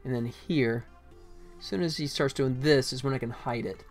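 A man's voice: mid-range voice, moderate pace, soft background music. A middle-aged man gives casual live commentary as a game developer, thinking aloud in short fragments. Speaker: game developer livestreaming his work.

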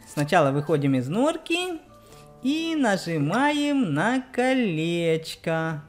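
A woman giving explanatory game commentary for children, speaking directly to her young viewers. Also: on the low side. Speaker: let's play narrator on a children's channel.

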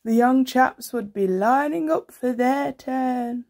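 A young-sounding actress plays an elderly granny in a period radio play. The woman speaks slowly in a gravelly, husky voice, doting and wistful, with old-fashioned gentility. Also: high-pitched, darkish tone, exaggerated voice. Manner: slow, doting, old-fashioned genteel speech. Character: frail, fond, wistful old bedridden grandmother. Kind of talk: 1930s-set radio play acting.

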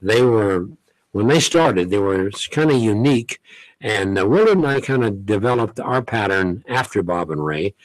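A man's voice: on the low side, expressive, moderate pace, dry recording, low-quality recording. An older man, a radio show host, chatting genially as a guest on a studio talk show, joking and reminiscing. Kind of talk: casual interview talk. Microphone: studio boom mic.

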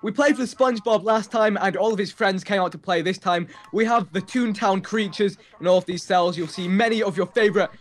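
A man's voice: sits high, a little fast, hardly any pauses. A man doing casual, improvised gaming commentary, playfully announcing like an official guide to the other players. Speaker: gamer playing a prison warden and guide.

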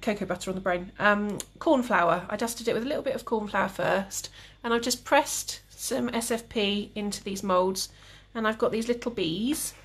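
Calm tutor, young woman, breathy, easygoing live demo talk. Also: medium pitch, moderate pace, hardly any pauses. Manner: calm, relaxed, chatty with viewers. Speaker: cake decorating tutor. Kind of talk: live demonstration with chat.

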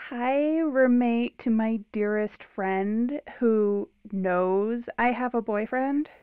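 A woman's voice: fairly high pitch, slow, smooth, no room sound. A woman playing a breezy, sardonic young girlfriend in an audio drama, teasing, through a phone filter. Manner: drawling, teasing. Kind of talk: modern audio drama acting. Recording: tinny narrow phone line.

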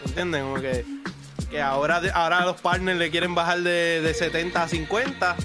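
A streamer with a Puerto Rican accent, a man with a slightly high voice, talks casually on a podcast. He uses a lot of slang and tag questions. Music is clearly heard behind him. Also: fairly even intonation, a little fast. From Puerto Rico. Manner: slangy, often tags phrases with a question. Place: bedroom-style streaming room, indoors. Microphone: close desk microphone.

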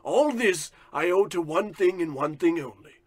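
A man's voice: sits high, lively intonation, normal speed, dry recording. A man playing a pompous, boastful tycoon and bullying boss in a bombastic old-radio parody style. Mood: bragging. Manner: bombastic, self-important.

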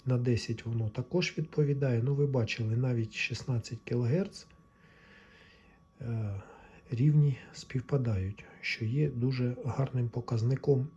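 A man giving an informal technical tutorial, explaining step by step in a halting way. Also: mid-range voice, warm tone, husky, lo-fi sound. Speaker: electronics repair hobbyist presenter.

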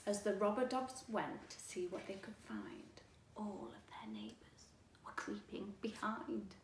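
Slow, expressive woman reading a storybook. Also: mid-range voice, whispered. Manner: expressive, deliberate phrasing. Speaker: storytime reader. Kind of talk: children's read-aloud, rhyming storybook narration. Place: living room, indoors. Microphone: camera a few feet away. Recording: echoey room, distant mic, faint noise.

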